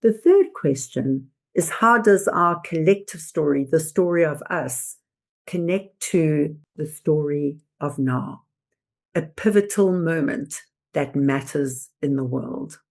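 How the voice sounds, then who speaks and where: A woman presenter delivering scripted motivational narration in a deliberate, emphatic, oratorical manner. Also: low-pitched, expressive, a little mellow. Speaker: host and presenter.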